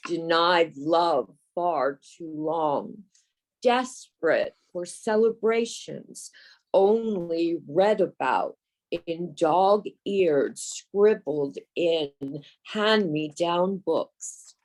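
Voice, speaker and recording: A woman from the United States reads poetry aloud in a fairly low voice. Her delivery is very slow and deliberate, each phrase measured out on its own. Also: darkish tone. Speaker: poet. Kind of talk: poetry reading.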